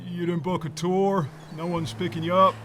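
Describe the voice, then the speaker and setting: Casting: male actor as practical ferry crewman, high voice for a man, noisy backdrop. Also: little pitch movement, moderate pace, a bit bright. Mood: matter-of-fact. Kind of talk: audio screenplay acting.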